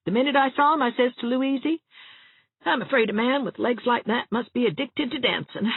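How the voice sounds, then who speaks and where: A woman's voice: on the high side, vocal fry, breathy edge, no room sound, pitched above the performer's own voice, full character voice. A woman voicing a gloomy, fretful elderly spinster who frets and forever fears the worst. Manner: mournful, fussy, forever fearing the worst. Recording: tinny phone-like sound.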